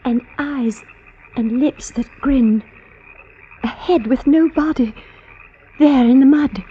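An actress playing a frightened, insistent young sweetheart in an agitated old radio crime drama. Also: female voice, high voice, takes it slowly, a little hiss or hum. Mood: uneasy, then alarmed, insistent. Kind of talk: 1950s radio drama acting. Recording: dull old radio recording.

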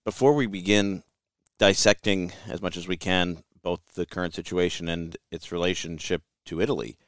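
A man with a Brooklyn New York accent hosts, asking easy conversational questions. His voice is very low, smooth and resonant. The sound is dry and close. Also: steady tone, moderate pace, dry recording. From United States. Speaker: podcast host. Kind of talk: interview conversation.

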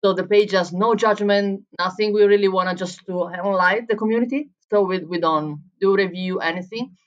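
A woman from Italy with an Italian accent, answering interview questions as a barista and coffee coach, often pausing and restarting as she looks for words. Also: medium pitch, highs cut off. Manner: searching for words in English, frequent restarts.

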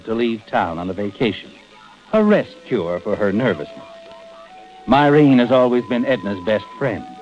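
An American actor playing a knowing, taunting narrator of a 1940s-style radio suspense show, insinuating and dramatic. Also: male voice, medium pitch, a little mellow, natural voice. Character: knowing, taunting mystery narrator addressing the guilty man. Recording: muffled old radio broadcast recording.

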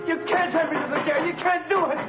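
A man's voice: very high-pitched, steady tone, fast. An actor playing a panicked condemned prisoner pleading his innocence in an old radio drama. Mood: frantic, desperate. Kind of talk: 1930s radio acting. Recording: muffled echoey old radio, loud music.

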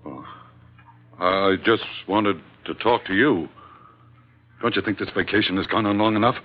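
A man's voice: on the low side, normal speed, a little hiss or hum, close to an everyday voice. An actor playing an earnest, blustery sailor, loyal to his skipper, in a vintage radio adventure. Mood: aggrieved, earnest. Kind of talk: 1940s-50s radio adventure acting. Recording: dull old radio recording.

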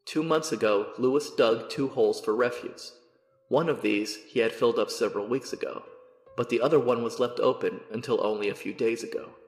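A man's voice: medium pitch, echoey room, in a large hall, lightly acted. A man voicing a neighboring farmer recounting a discovery in a matter-of-fact way. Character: neighboring farmer giving a plain account.

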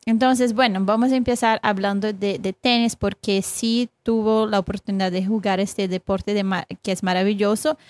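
A young woman from Brazil with a Brazilian Portuguese accent, a guest coach speaking Spanish as a second language, halting and searching for words. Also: medium pitch, steady tone, a little mellow. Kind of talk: casual interview talk.

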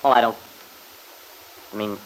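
A man's voice: on the low side, fairly even intonation, unhurried, lightly acted. A man playing an earnest, defensive young father testifying in an old radio drama. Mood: flustered, defiant. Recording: noisy old radio recording.

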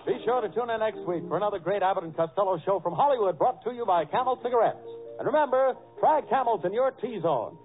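A man's voice: high voice, animated, fast talker, hardly any pauses, over music. A man working as a show announcer, reading promos in a 1940s radio style. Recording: tinny band-limited vintage radio recording.

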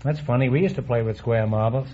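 A man's voice: medium pitch, heavy background noise. Wisecracking middle-aged man hosting a quiz. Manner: teasing, quick puns and asides. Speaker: quiz show host. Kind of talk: wisecracking quiz show banter. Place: studio before a live audience. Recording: dull old radio broadcast, audience behind.

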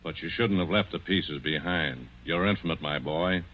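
An actor playing a brusque, skeptical police inspector who interrogates and talks down to people in a 1940s radio suspense drama. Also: male voice, sits low, moderate pace, slight background noise, natural voice. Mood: pressing. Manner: brusque, probing, condescending. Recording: dull 1940s radio recording.

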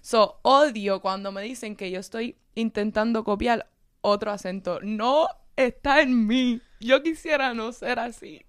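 A woman's voice: fairly high pitch, measured pace, clear, resonant tone, dry recording, clean studio sound. A young woman from Cuba living in Miami, speaking Spanish with a Cuban-Miami accent and dropping English words into her sentences in casual chat. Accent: Miami Cuban Spanish with English mixed in. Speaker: content creator.

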